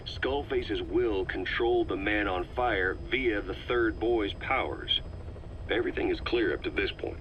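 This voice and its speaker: Guy voicing a deep calm analyst laying it all out step by step, slightly muffled tape sound. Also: a little hiss or hum, natural voice. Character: calm, analytical male agent explaining a conspiracy. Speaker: intelligence briefer on a cassette tape. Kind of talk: scripted game voice acting.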